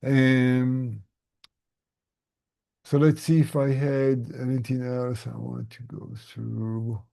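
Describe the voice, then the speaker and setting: A man hosting a casual online group session as a coach, explaining things in a gentle manner. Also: mid-range voice, little pitch movement, slow, gravelly. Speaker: host and tinnitus relief coach.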